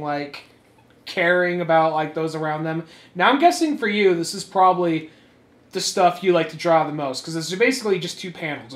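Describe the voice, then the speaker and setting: A man co-hosting a podcast, giving casual, animated commentary on a comic with joking profanity. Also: fairly high pitch, reverberant. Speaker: podcast co-host.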